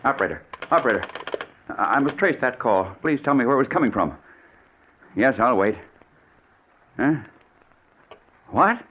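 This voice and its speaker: A man's voice: mid-range voice, lively intonation, normal speed, pauses often, slight background noise, natural voice. An actor playing a terrified, paranoid rich man in an old-time radio thriller, stammering and jittery. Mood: nervous fear. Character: terrified, paranoid wealthy man hounded by a killer. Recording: tinny narrow-band vintage radio, music behind.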